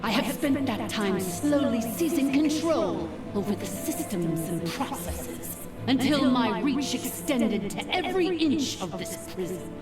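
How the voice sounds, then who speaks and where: Slow, haughty, vengeful ancient machine overlord. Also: female voice, fairly high pitch, lively intonation. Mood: gloating menace. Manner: grandiose, menacing, contemptuous, theatrical. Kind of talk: scripted video game voice acting. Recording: echoey, distant, with music behind.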